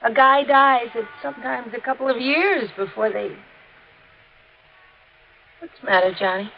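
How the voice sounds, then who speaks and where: High-voiced scheming radio widow. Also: female voice, unhurried, vocal fry. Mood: coy, impatient. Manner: coy, wheedling, snappish. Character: scheming, hard-edged widow, coy then venomous. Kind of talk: 1950s radio drama acting. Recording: noisy, muffled 1950s radio recording.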